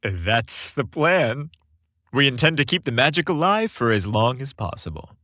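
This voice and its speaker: A man's voice: medium pitch, sing-song, unhurried, bright, forceful tone, no room sound, clean studio sound. A man voicing a cheerful, playful young man with an enthusiastic, emphatic delivery in a romantic holiday story. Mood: playful, warm.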